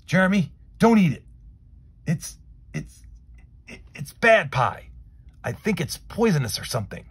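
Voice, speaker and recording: Man playing young storybook boy, earnest, anxious, urgent, halting, raised pitch, raspy. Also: very animated, heavily acted. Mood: panicked. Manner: urgent, stammering.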